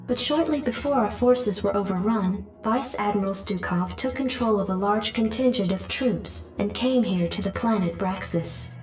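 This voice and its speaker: An actress playing a cool military computer adjutant in a sci-fi video game, clipped, impersonal and formal. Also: female voice, medium pitch, moderate pace, few pauses, a little mellow, lightly acted. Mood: formal reporting. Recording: echoey and distant, music behind.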